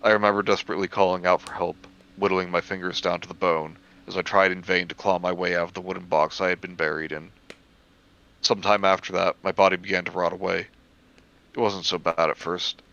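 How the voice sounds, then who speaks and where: A man reading a first-person horror story aloud in measured narration, stumbling over the occasional word. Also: sits low, little pitch movement, gravelly, a bit bright, dull audio.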